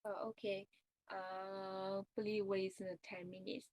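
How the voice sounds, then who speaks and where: A woman practicing English conversation, speaking hesitantly with many pauses and "uh"s. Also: medium pitch, level tone, darkish tone, no echo. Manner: hesitant, many "uh"s, simple phrasing. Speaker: English learner.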